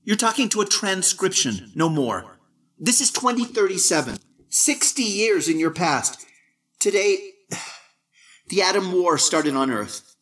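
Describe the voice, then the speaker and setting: A man's voice: high voice, a little slow, far from the mic, pitched above the performer's own voice, exaggerated voice. A man doing a brash, cruel young man of twenty. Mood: wry detached. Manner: mocking, lively, brash.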